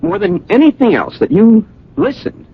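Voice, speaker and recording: An earnest, lovesick male suitor in an old radio play. His husky, highish voice swoops widely as he pleads and questions haltingly, on a dull broadcast recording. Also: wildly swooping pitch, exaggerated voice. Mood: earnest, tender. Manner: halting, emotional, insistent questioning. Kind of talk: old radio drama acting.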